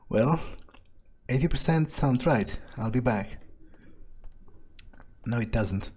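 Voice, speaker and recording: A man narrates a game playthrough in character, thinking aloud. His voice is husky and mid-pitched, and his manner is sardonic and foul-mouthed. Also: expressive, drawn-out words, pauses often, darkish tone. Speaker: gamer playing in character.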